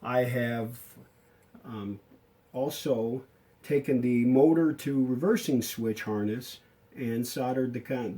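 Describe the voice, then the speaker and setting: A man giving a casual, hands-on workshop explanation as a hobbyist restorer, haltingly thinking aloud as he works. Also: mid-range voice, mellow. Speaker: hobbyist radio restorer and video maker. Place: indoor home workshop at a workbench.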